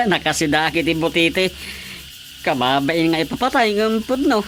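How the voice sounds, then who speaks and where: A woman's voice: lowish voice, big pitch swings, unhurried, a bit bright, a little hiss or hum. A woman with an Ilocano Filipino accent playing a dead, gossipy village chairman in a radio comedy, chuckling and exclaiming. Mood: amused, embarrassed. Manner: chuckling, exclamatory comic delivery.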